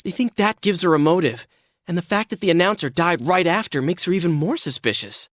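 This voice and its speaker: A man voicing an excitable, earnest teenage boy in a video game dub, with a slangy, blurting way of talking. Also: sits high, brisk, dry recording, clean studio sound. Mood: anxious, confiding.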